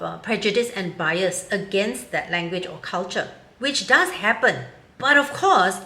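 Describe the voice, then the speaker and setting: A woman's voice: medium pitch, fairly bright tone. A woman in her fifties from Singapore, giving her frank, wry opinion in a commentary vlog. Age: 50s. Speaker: vlogger. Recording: roomy echo.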